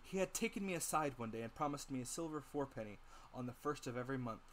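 A man's voice: mid-range voice, expressive, fast, a bit bright, slight background noise. A man narrating a classic adventure novel as a dramatic audiobook reading.

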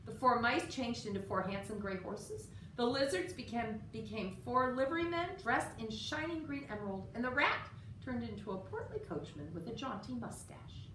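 A middle-aged woman reading a children's picture book aloud in an expressive, measured storytelling style. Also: a little high. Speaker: storyteller at a library. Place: indoor room with story sign. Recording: roomy, distant mic, faint room noise.

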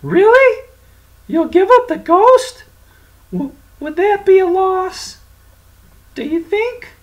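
A storyteller voicing a bold young man who mocks and commands the devil in a high, strained voice. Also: wildly swooping pitch, a little slow, exaggerated voice. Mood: mocking. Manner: mocking, commanding. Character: bold young man hero, shrill and defiant.

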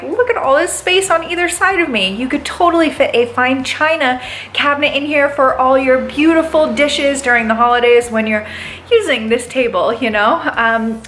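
A young woman with a bright, high voice giving a gushing walkthrough of a house for sale. She talks to a camera held at arm's length, and a faint room hum sits behind her. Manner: bubbly, enthusiastic, gushing. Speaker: real estate agent. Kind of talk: upbeat house tour vlog. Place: inside a large empty house. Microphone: handheld camera at arm's length.

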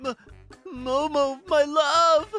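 A man playing a lovestruck young male idol superfan, gushing in exaggerated anime style with drawn-out words. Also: extremely high pitch, fairly even intonation, music in the background. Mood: creepy adoration.

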